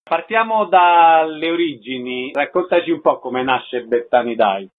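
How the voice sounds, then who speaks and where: A man's voice: fairly high pitch, expressive, unhurried, phone-quality sound. A man hosting a radio interview, giving introductions and short prompting questions.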